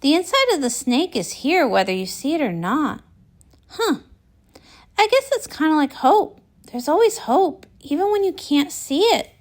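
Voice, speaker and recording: A woman performing an animated comic read-along, acting out the dialogue in character. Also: high voice, normal speed.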